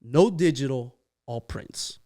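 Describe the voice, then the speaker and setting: A man's voice: mid-range voice, slow, warm tone. A man hosting a podcast interview in a studio. He talks in an upbeat, chatty way and often echoes his guest's answers back. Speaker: podcast host. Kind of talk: casual interview chat.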